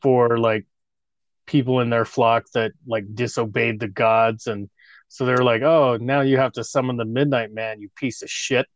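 A man's voice: medium pitch, steady tone, normal speed. A man in his thirties co-hosting a casual podcast, joking crudely and laughing often. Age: 30s. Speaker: podcast co-host.

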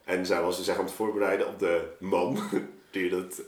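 A man telling anecdotes in an informal, animated way, as in a casual podcast chat. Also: low voice, normal speed. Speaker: podcast guest, artist telling of a project. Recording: roomy, echoing room sound.

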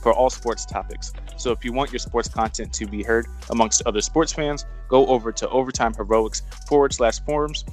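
A man's voice: mid-range voice, steady tone, normal speed, hardly any pauses. Man reading a promo over faint music. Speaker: ad reader. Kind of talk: promotional read.

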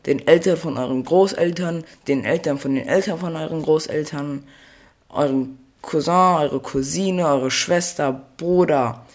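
A man's voice: on the high side, darkish tone. A teenage boy hosting a solo podcast, rambling playfully, correcting himself and losing his train of thought. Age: teens. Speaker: podcast host.

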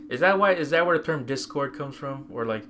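Low-voiced man chatting over background noise. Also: lively intonation, fairly quick. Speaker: podcast co-host. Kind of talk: casual chat about tech and pop culture. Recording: clear background ambient noise.

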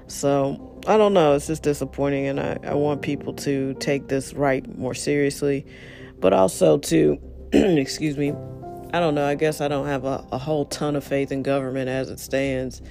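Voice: medium pitch, steady tone, moderate pace, gravelly, music in the background. A speaker who is losing their voice delivers a blunt, frustrated, casual monologue straight to the listener. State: hoarse, losing voice.

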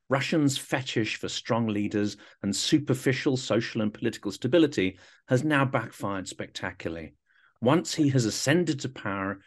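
A man hosting an interview podcast. He reads a prepared introduction in a measured, formal manner. He then turns to his guest with questions. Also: mid-range voice. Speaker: podcast host.

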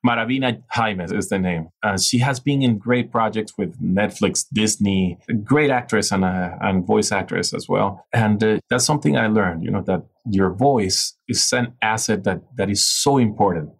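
Venezuelan man, low husky rasp, reflective podcast guest. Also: normal speed, hardly any pauses. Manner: reflective, frequent restarts and "you know". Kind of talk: casual interview conversation.

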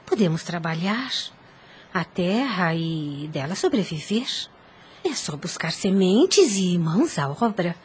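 A woman's voice: on the low side, sing-song, slow pace, a little mellow, a little hiss or hum. An actress playing a dutiful, resolute widowed lady in a Brazilian radio soap, with formal, theatrical phrasing. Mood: determined.

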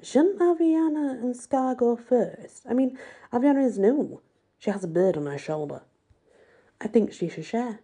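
Woman reading as a husky, wry teenage girl. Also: fairly high pitch, animated, measured pace, mellow, voice pushed up, exaggerated voice. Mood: defensive. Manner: wry, evasive. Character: sardonic, defensive teenage girl, dreamy fairy-tale heroine.

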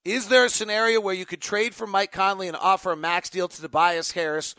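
Bright, high-pitched man talking sports. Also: fairly even intonation, a little fast, smooth, no echo. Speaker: sports show host and radio announcer. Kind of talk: live unscripted sports talk with host-read ad.

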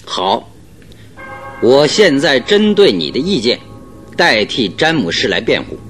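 A man playing a confident, analytical private detective in a Mandarin radio drama, reasoning in measured, questioning phrases. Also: medium pitch, very animated, fairly bright tone, faint music behind.

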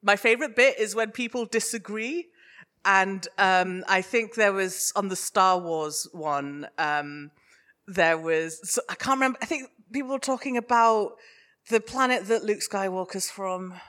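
A British woman with a bright voice talks as a guest in a live interview. Her delivery is informal and chatty. She is self-deprecating and quick to giggle. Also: medium pitch. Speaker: podcast guest, podcaster and sensitivity reader. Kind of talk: live on-stage interview, casual chat.

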